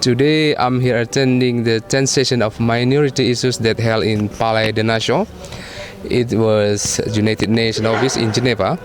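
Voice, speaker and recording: A man speaking as an interviewer, asking questions in stiff, formal, halting English. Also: mid-range voice. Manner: formal, halting non-native phrasing. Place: conference venue.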